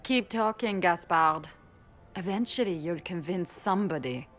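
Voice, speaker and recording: A woman playing a shrewd, proud elven spymaster in a fantasy video game, speaking in a measured, pointed, self-assured way. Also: on the low side, expressive, a bit bright, big-room sound, faint music behind. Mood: sardonic, cool. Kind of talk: dramatic video game acting.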